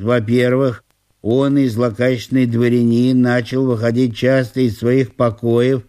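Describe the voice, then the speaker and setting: Man playing a pompous, aggrieved provincial landowner, mellow voice. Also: mid-range voice, natural voice. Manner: pompous, florid officialese, solemnly indignant. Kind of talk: theatrical audio-play monologue.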